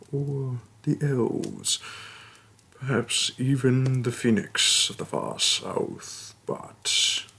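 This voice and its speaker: A man playing a hulking, patient orc shaman and healer in a fantasy roleplay audio, speaking in a gravelly, breathy voice with a slow, deliberate and reassuring delivery. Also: a bit bright, heavily acted. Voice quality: gravelly, husky, breathy low voice. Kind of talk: fantasy roleplay audio acting, addressing the listener.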